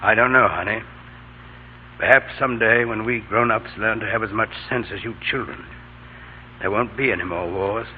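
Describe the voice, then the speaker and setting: A man playing a gentle, loving father, tender and measured, in an old-time radio drama. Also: on the low side, close to an everyday voice. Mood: tender, wistful. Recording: noisy, muffled old radio broadcast.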